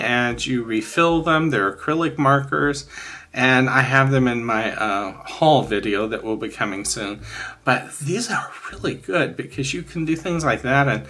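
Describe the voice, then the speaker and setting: A man in his sixties talks casually as a craft channel host. His voice is bright and sharp at an average pitch. He rambles candidly and leans hard on his opinions, with soft music underneath. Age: 60s. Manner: rambling, candid, emphatic about likes and dislikes. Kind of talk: casual chat showing finished coloring pages.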